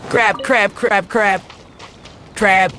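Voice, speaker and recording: Slow-talking woman reacting to a game. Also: medium pitch, steady tone, a bit bright, soft background music. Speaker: gaming streamer. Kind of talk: casual, halting gameplay reactions.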